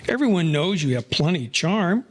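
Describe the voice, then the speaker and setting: A man's voice: on the high side, big pitch swings, brisk. A man playing an inquisitive, chummy Broadway wise guy in formal slang, for a 1940s-style audio play. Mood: chummy, curious. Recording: noisy recording with clicks.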